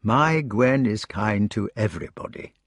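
A man voicing a kindly old king who speaks softly and soothingly. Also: on the low side, measured pace, no room sound, clean studio sound. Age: older. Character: old, gentle, fatherly male king.

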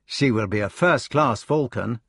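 A man's voice: fairly high pitch, big pitch swings, normal speed, no room sound, clean studio sound, pitched above the performer's own voice. A man voicing an eager, enthusiastic youngest brother who is a young knight. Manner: breathless enthusiastic storytelling.

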